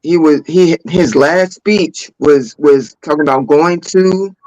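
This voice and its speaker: Higher-voiced American man, insistent and dry-sounding. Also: takes it slowly, a little mellow, dry recording, dull audio. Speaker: panelist on a talk show. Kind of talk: casual opinionated group discussion.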